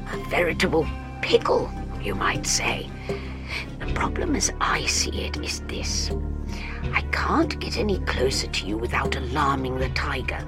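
A woman voicing a calm, dry-witted male doctor who speaks in a hushed, near-whispered tone of authority. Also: very deep voice, voice pushed down, full character voice.

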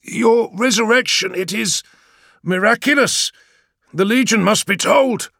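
A man voicing a zealous armored male warrior who exclaims his words in excitement. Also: very high voice, measured pace, gravelly, breathy edge, voice pushed up, exaggerated voice. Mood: fervent.

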